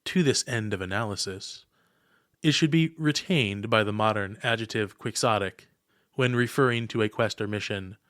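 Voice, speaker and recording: A man narrating a scripted podcast essay in a formal, oratorical manner, setting his phrases apart with deliberate pauses. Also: mid-range voice, fairly bright tone, no echo, clean studio sound. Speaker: podcast host.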